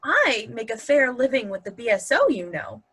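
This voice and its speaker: Dry-witted, loyal, blunt woman played by a female actor, pointed delivery, high, forceful, slightly husky voice. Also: very animated, moderate pace, full character voice. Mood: insistent. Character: blunt, dry-witted woman fiercely defending her partner. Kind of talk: virtual table read of a stage comedy-drama.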